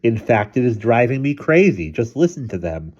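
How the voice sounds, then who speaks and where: A man's voice: medium pitch, very animated, moderate pace, a little mellow, dull audio, voice pushed up. A man voicing an exasperated storybook mother, cross and emphatic. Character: exasperated, irritable mother at wits' end.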